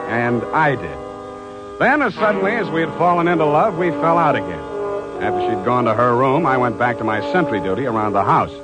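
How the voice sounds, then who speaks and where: An American actor playing a tough, wry, suspicious private detective in a clipped, cool 1940s radio drama style. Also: male voice, medium pitch, close to an everyday voice, rough recording. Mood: wry narration. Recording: old radio recording with music.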